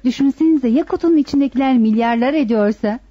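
An actress playing a sly, insistent young daughter in a radio play, on a muffled, dry recording. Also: female voice, high-pitched, fast, dark tone, dry recording. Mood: eager, insistent. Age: young adult. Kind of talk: radio theatre acting.

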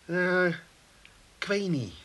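A man's voice: sits high, lively intonation, takes it slowly, pushed and bright, exaggerated voice. An actor playing a sceptical, wisecracking working man in a comic radio drama, with a dry delivery. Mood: sarcastic. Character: sardonic, sceptical son and bicycle-garage keeper.

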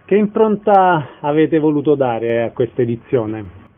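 A man's voice: fairly high pitch, sing-song, unhurried. Male interviewer with thin phone-like sound. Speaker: radio interviewer. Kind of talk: scripted introduction and short interview questions.

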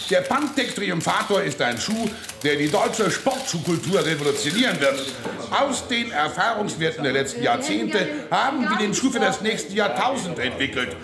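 A man's voice: high voice, sing-song, moderate pace, echoey room, a crowd in the background. An actor playing a self-important shoe manufacturer in a radio drama, pompous and emphatic in his delivery. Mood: proud.

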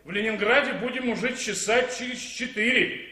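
An actor in a 1960s Soviet radio play as a self-important, pushy car owner, pompous and officious. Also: male voice, very high voice, normal speed, pushed and bright.

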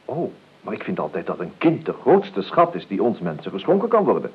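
A drily ironic, evasive nephew in a radio play. He has a low voice with lively, roaming intonation and hesitates his way through ornate, polite phrases. The old radio recording sounds dull. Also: brisk, husky, heavily acted. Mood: suave, drily evasive. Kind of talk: Dutch radio play acting.

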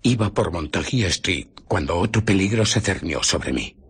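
A haunted invisible scientist on the run in a radio drama. His voice is deep and very breathy, rough at the edges. He recounts his ordeal bitterly, with deliberate, theatrical pauses. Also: lively intonation, exaggerated voice. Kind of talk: dramatic radio play narration.

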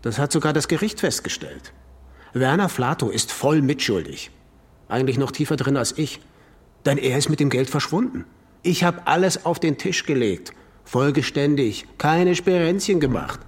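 An actor playing a defensive, indignant imprisoned swindler in a radio drama, speaking emphatically in clipped phrases. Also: male voice, medium pitch, lively intonation, moderate pace, husky. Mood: insistent. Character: imprisoned swindler, defensive, indignant man blaming his runaway partner. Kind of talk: German radio drama acting.

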